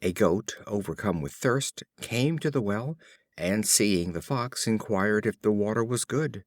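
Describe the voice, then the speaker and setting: A man reading fables as an audiobook, expressive, deliberate and theatrical in his storytelling. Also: fairly low pitch, dry recording, clean studio sound. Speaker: audiobook reader.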